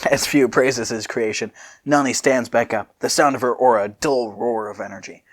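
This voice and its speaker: A man's voice: mid-range voice, normal speed. A man reading fanfiction aloud as a storyteller, dropping in casual asides. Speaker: fanfiction reader.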